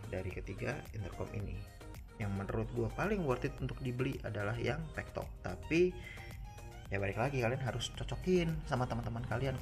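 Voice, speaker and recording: A man with a Jakarta accent talks viewers through a product review in an informal, conversational way. His mid-pitched voice has a noticeably creaky, gravelly edge. Music plays clearly behind him as he explains. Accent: Jakarta Indonesian. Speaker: motorcycle gear reviewer. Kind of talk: casual product review to camera. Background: background music.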